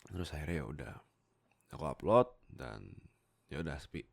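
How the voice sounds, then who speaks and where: A man's voice: extremely low pitch, expressive, moderate pace, gravelly. A young man, chatting casually and self-deprecatingly to his followers about his life as a voice actor, on a close microphone. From Indonesia. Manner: informal, self-deprecating, giggly. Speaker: amateur voice actor and content creator. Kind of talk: casual storytelling chat to followers. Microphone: close USB microphone.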